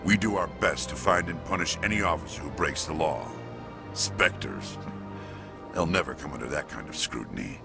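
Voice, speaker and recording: A man playing a stern, proud alien police chief in a video game, curt and disapproving, with a gravelly voice. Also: a bass voice, animated, normal speed, music in the background, full character voice. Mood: stern, indignant. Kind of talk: video game dialogue acting.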